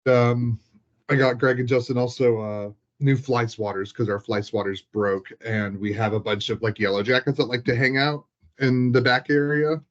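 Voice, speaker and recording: A man's voice: medium pitch, steady tone, normal speed. A man chatting casually in a rambling, informal way, leaning on "like" as he talks, on a dull lo-fi recording. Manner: rambling, informal, heavy use of "like". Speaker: hobbyist tabletop game master. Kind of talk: casual chat.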